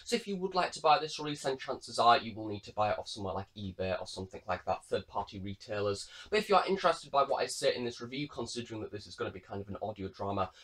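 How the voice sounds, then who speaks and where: A man's voice: on the low side, lively intonation, fast talker, few pauses, fairly bright tone. A British man in his late teens giving a casual, wordy product review to camera. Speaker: YouTube reviewer.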